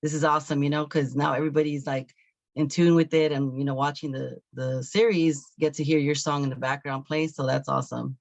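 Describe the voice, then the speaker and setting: Creaky, higher-pitched male interviewer. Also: flat delivery, fairly quick, no room sound. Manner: hesitant, searching for words, agreeable interjections. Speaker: talk show host interviewer. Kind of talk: casual interview chat.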